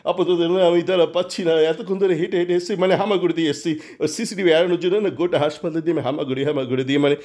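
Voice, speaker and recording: A man's voice: a little high, fairly even intonation, very fast, darkish tone, close to an everyday voice. A man playing a stubborn, scheming hospital principal, insistent and repetitive, in an amateur audio story. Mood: stubborn, dismissive. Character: stubborn, scheming male hospital principal, educated crime boss. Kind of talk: amateur audio-fiction dialogue.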